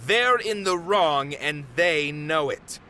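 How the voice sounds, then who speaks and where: An actor playing a dutiful, proud theater manager in a video game, speaking in a measured, formal, earnest way. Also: male voice, on the high side, lively intonation, sharp tone, slight background noise, full character voice. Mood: indignant, firm.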